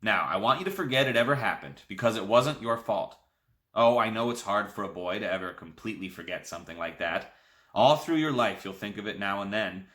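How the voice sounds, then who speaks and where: A man voicing an excitable old grandfather who runs a country store, talking in an emphatic folksy way. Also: fairly low pitch, reverberant. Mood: solemn.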